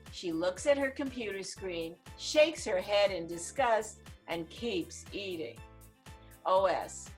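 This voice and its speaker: A woman narrating the stage directions of a screenplay in a scripted table read over a video call. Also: medium pitch. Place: online video call reading.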